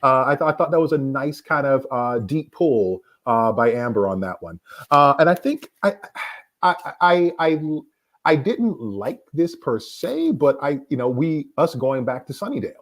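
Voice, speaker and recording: A man with a mid-pitched, very lively voice speaks on a casual panel. He often stumbles over repeated words while working out his point. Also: moderate pace.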